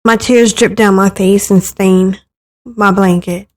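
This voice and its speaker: A woman's voice: mid-range voice, steady tone, slow pace, darkish tone. A woman reading scripted first-person narration for a dramatic visual novel story.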